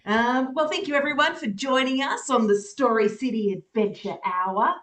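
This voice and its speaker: An Australian woman hosts a relaxed live stream, chatting warmly and laughing between her sentences. She fills her pauses with plenty of ums and uhs. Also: on the high side. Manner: chatty, laughs often, many fillers. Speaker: host. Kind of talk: casual live-stream chat.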